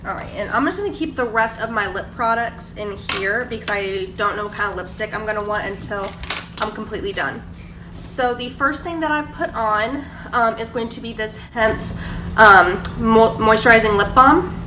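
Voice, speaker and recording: A woman's voice: on the high side, normal speed. A young woman, stuffy from a cold, chatting casually through her makeup routine at her kitchen table. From Georgia, US. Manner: chatty, filler-heavy, explaining products. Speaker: beauty vlogger. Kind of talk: casual get-ready-with-me chat. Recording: echoey room, distant mic, background noise.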